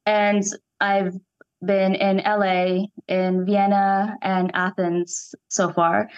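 A woman's voice: mid-range voice, flat delivery, slow pace, clean tone, highs cut off. A young woman from Iran, raised in Canada, speaking as an actress in an interview, thoughtful and enthusiastic. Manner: enthusiastic, thoughtful, searching for words. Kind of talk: reflective interview conversation.

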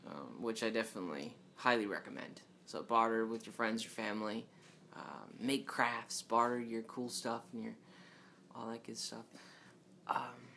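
A man talking alone into a podcast mic, his tone bright and forward despite a low, fairly monotone delivery. He rambles through restarts and filler words, dropping joking asides to the listeners. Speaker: podcast host. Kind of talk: casual solo podcast monologue.

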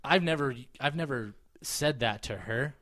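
A man's voice: mid-range voice, measured pace, fairly bright tone, dry recording, clean studio sound. A man in his thirties chatting casually as a podcast co-host, rambling with many restarts and fillers and swearing freely. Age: 30s. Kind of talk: casual chat.

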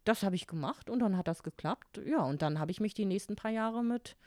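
German woman in her 40s, storytelling interview guest, mid pitch, dry close mic. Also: brisk, no echo. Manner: lively anecdotes, acts out her own quoted thoughts.

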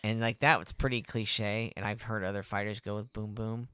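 A man's voice: on the low side, little pitch movement, normal speed, bright, forceful tone, clean tone. A man co-hosting a casual podcast, talking in a laid-back, slangy way peppered with swearing. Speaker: podcast co-host, a fighter. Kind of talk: casual conversational podcast chat.

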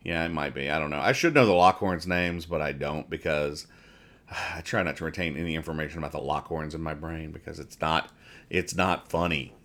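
A man's voice: very deep voice, a little fast, a bit bright. A man hosting a podcast, casually describing and explaining comic strips in a rambling, mock-earnest way. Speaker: podcast host.

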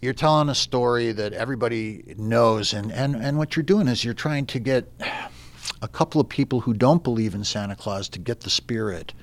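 Halting, mid-pitched man chatting casually. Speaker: stage actor playing Santa. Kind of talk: casual interview chat.

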